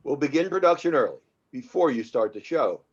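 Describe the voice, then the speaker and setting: Through a dull, lo-fi microphone comes an actor as a controlling film producer. He is a man who speaks with calm menace, as if every question were a threat, in a read-through of a screen drama. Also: mid-range voice, fairly quick, slightly rough. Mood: cold menace.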